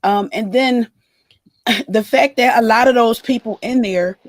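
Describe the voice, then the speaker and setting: A woman co-hosting a livestream who is hoarse and losing her voice, explaining her views in a measured way during casual gossip chat. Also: on the high side, no room sound. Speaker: livestream co-host.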